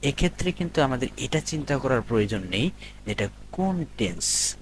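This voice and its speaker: A man's voice: mid-range voice, expressive, a little fast. A man teaching a grammar lesson as a tutor, explaining step by step and repeating key points in an instructional way. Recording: noticeable background noise.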